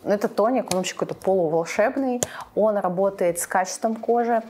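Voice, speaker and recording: A young adult woman explaining products to camera in a measured way, as a beauty vlogger. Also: medium pitch, dark tone, a little hiss or hum. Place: small furnished room indoors.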